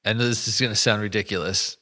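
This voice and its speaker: A man hosting an interview, asking rambling questions with enthusiasm and quick laughs. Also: lowish voice, steady tone, bright, forceful tone, no echo, studio quality. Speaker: podcast host.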